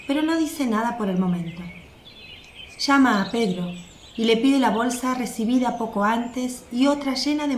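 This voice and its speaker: A woman's voice: a little high, a little mellow. A woman reading scripted narration for a radio drama. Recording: roomy sound with faint noise. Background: birdsong, outdoor ambience.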